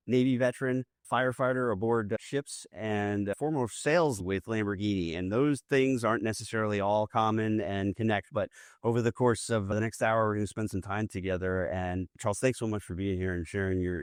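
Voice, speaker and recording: A man hosting a veteran podcast, reading a scripted intro and then asking interview questions in a steady, measured way. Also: on the low side, clear, resonant tone, no room sound, studio quality. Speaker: podcast host.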